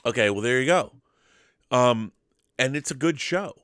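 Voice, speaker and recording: A man talks in a casual fan discussion as a co-host. His voice sits at a middle pitch and he stresses points emphatically by repeating words. The sound is dry and free of room reflections. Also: lively intonation, moderate pace, fairly bright tone, clear, resonant tone, no room sound. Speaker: co-host of a pop culture discussion show. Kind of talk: casual fan debate about films and TV.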